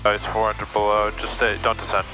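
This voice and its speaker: A man coaching a pilot calmly from the right seat of a small plane, giving short directions over a headset. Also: on the low side, fast. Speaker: flight instructor. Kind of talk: casual in-flight instruction. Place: small plane cockpit in flight. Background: music.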